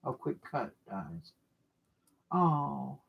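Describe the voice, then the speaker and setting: A craft livestreamer with a loud voice, rambling and thinking aloud while working at a home desk, mic set off the desk. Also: mid-range voice, big pitch swings, extremely slow, gravelly, breathy edge, narrow, tinny audio. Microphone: mic kept off the desk, away from the speaker.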